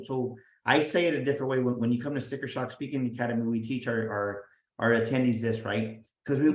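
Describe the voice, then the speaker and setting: Energetic man, roomy echo. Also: mid-range voice. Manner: energetic, emphatic, repeats words as he restarts. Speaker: guest speaker and business coach. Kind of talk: motivational interview talk.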